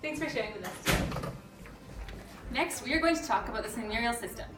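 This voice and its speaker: A woman reading scripted interview questions for a school project, in a soft, careful way. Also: fairly high pitch, expressive, quick pace. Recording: echoey room, far from the mic, music behind.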